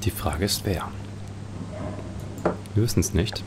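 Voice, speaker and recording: A man's voice: sits low, slow, noisy background. A man giving musing, speculative commentary as he plays an adventure game. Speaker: let's play host.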